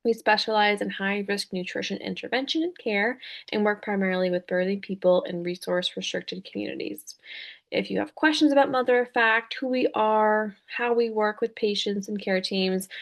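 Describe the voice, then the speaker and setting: A woman's voice: mid-range voice, fairly even intonation, fairly quick, vocal fry. A woman hosting a webinar as a dietitian, welcoming attendees and introducing the speaker from prepared notes.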